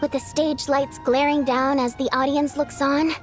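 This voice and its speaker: A woman's voice: high-pitched, flat delivery, moderate pace, faint music behind, lightly acted. A woman voicing a spirited young stage performer in a video game, theatrical, teasing and affectionately bossy. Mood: wistful.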